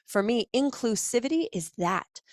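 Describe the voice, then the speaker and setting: A woman coach explaining her client intake form in a conversational teaching tone, pausing to correct herself as she scrolls. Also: fairly high pitch, animated, no room sound, clean studio sound. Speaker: coach and facilitator. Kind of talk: instructional walkthrough.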